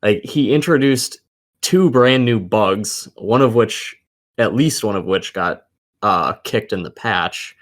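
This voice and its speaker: A man with a fairly low voice chats casually about games. He is blunt and peppers his talk with heavy swearing. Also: expressive, slow pace. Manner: blunt, heavily profane. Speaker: gaming podcast co-host. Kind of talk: casual gaming chat.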